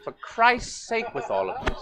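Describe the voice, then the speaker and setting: A woman's voice: fairly high pitch, wildly swooping pitch, measured pace, very noisy. Woman playing a crotchety, swooping old lady. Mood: indignant. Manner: indignant, dry, sarcastic. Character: crotchety, scolding elderly woman, easily offended. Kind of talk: improvised stage comedy.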